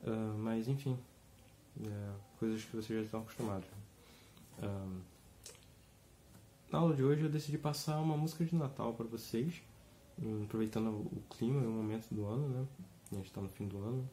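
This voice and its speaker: A young man with a slight cold, giving a relaxed, rambling guitar lesson from a small room. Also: lowish voice, moderate pace, long pauses, a little hiss or hum. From Brazil. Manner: rambling, relaxed, many fillers and restarts. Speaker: guitar teacher. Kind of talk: casual video lesson. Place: small room indoors, seated with an acoustic guitar.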